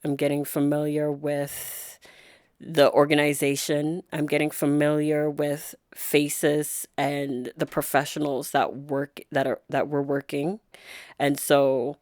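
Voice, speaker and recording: A woman being interviewed as a guest, a nurse, speaking in a soft-spoken, thoughtful way with frequent pauses and fillers. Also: low-pitched, monotone, no room sound, studio quality. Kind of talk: reflective interview conversation.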